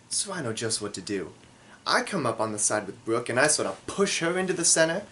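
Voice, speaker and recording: Animated male storyteller with lively, swinging pitch. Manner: theatrical, dramatic pauses, acts out dialogue; self-deprecating. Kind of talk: comedic autobiographical storytelling.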